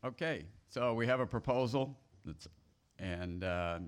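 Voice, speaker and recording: A man's voice: lowish voice, a little slow. A man moderating a meeting, informally explaining procedure to the group and often repeating and rephrasing himself.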